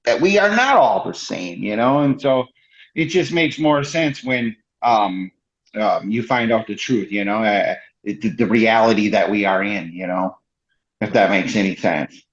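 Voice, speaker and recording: A man's voice: fairly low pitch, expressive. Guy rambling along, lots of you know, kinda muffled lo-fi sound. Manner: halting, restarts a lot, many "you know" fillers. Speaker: podcast guest. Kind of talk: casual conversation. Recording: dull lo-fi sound.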